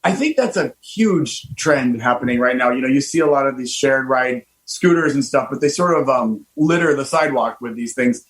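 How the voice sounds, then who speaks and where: A man in his fifties hosting a casual radio interview, enthusiastic and animated, with frequent fillers and restarts. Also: medium pitch, moderate pace, few pauses. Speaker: host, car designer.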